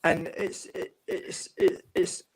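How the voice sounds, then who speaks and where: A man from England, a football writer and guest, talking in a calm, measured way with long explanations. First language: English. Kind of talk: casual panel chat.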